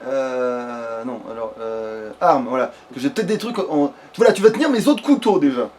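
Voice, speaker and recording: A man's voice: mid-range voice, animated, normal speed, a little mellow, slight background noise. A man giving casual let's play commentary, hesitating, muttering to himself and swearing casually.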